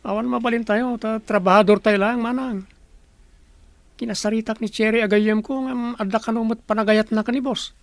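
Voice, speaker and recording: A man's voice: sits high, fairly even intonation, a little fast, no room sound. A Filipino man acting an earnest character in a radio drama. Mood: gossipy, matter-of-fact.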